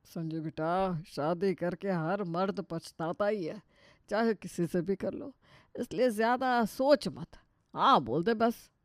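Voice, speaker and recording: A man's voice: high voice, slow pace, no room sound. A man acts out a wry middle-aged Indian father in a family tale. He teases with a resigned, joking delivery. The sound is close and dry.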